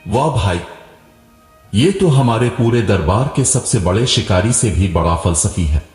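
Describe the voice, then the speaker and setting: A mocking, challenging king's soldier played by a male storyteller from a storybook. The delivery swings widely in pitch, with music behind and long reverb. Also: lively intonation, a little slow, darkish tone.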